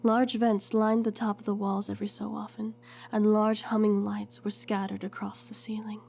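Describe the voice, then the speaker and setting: A woman's voice: mid-range voice, little pitch movement, normal speed, creaky, a little mellow, slight background noise, close to an everyday voice. A woman playing a curious young recruit who narrates her own story in an audio drama. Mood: reflective. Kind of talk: first-person scripted narration, audio drama.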